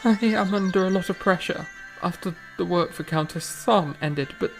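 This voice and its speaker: A woman's voice: on the low side, normal speed, creaky, soft background music. A woman voicing a remorseful, hot-tempered young male composer who stammers, pleads and lashes out at himself in a stage-play style. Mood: frustrated. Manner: stammering, pleading, breaks into frantic self-scolding.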